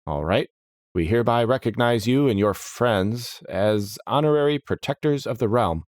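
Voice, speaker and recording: A man's voice: medium pitch, expressive, moderate pace, a little mellow, no echo, studio quality, voice pushed up, close to an everyday voice. A man voicing a stern, officious steward of a lord's court, formal and commanding, in a fantasy story. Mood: commanding, brisk.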